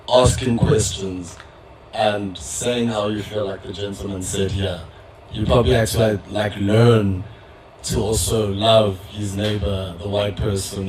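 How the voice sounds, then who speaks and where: A young man speaking reflectively in a public community discussion, measured and pausing often. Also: on the low side, low-quality recording. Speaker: audience member in a community dialogue. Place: event hall with audience.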